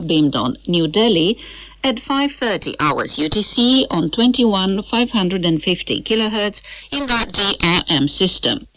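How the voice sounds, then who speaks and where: A woman's voice: lowish voice, fairly bright tone. A woman reading scripted announcements for an international radio broadcast, heard over shortwave. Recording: muffled shortwave capture, little echo.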